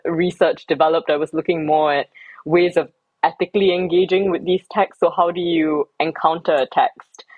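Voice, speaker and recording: A woman from Singapore talks through her research as an interview guest. She is reflective and academic, often pausing with ums and restarting sentences. The recording is slightly dull and dry. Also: low-pitched, fairly even intonation, a little mellow, husky, no room sound.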